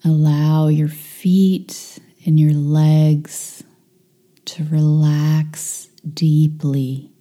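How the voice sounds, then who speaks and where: A woman leading a guided meditation, giving calm, hushed, soothing instructions with long pauses between phrases. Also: sits low.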